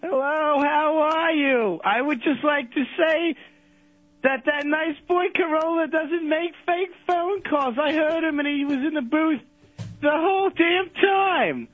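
A man's voice: unhurried, no echo. A man voicing a sweet, doddering old man who calls in to a radio show, rambling fussily. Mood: doting. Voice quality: high, quavering old-man voice. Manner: fussy, rambling, says odd things. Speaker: caller. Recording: dry, dull radio broadcast.

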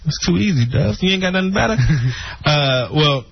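Husky, joking male guest from the DC area, muffled radio feel. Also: fairly high pitch, lively intonation, normal speed, no room sound. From Maryland, near Washington DC. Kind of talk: casual radio banter. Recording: dull lo-fi radio sound.

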